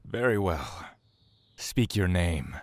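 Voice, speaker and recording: Grave, deep-voiced stern god of death. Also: male voice, lively intonation, slow, a little mellow, no echo. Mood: cold, impatient. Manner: stiff, curt, commanding. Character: stern, dutiful god of death, elder-seeming brother. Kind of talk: dramatic fantasy audio drama acting.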